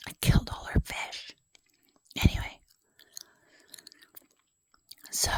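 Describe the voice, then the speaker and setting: A woman whispering a rambling personal story in ASMR style, self-deprecating and full of fillers. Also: dry recording. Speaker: ASMR creator.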